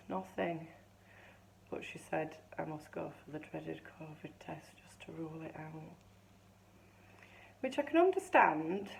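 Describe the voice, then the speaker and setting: Low gravelly woman in her fifties, slightly hoarse. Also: a little mellow, slight background noise, low-quality recording. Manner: rambling, chatty storytelling with frequent restarts. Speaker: vlogger. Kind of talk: casual chatty vlog.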